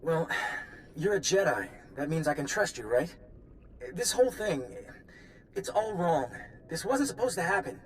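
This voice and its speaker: An actor playing an anxious, harried Republic official in a video game, earnest and full of worried complaint. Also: male voice, a little high, animated, moderate pace, pauses often, slight background noise, lightly acted. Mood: frustrated, anxious. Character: frazzled, self-pitying male Republic official, anxious and pleading. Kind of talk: video game character acting.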